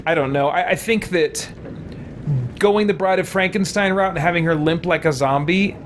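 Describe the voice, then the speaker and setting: A man's voice: high-pitched, normal speed, background noise. A man giving casual livestream commentary on a game, thinking aloud in a hesitant, reflective, rambling way. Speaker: game streamer. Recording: music behind the voice.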